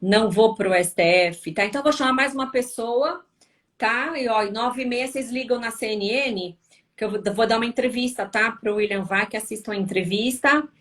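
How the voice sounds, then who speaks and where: Brief: Brazilian woman host, tired, chatty live-stream talk, slightly high voice. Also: moderate pace, a bit bright. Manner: chatty, frequent tag questions. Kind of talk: casual live-stream chat with viewers.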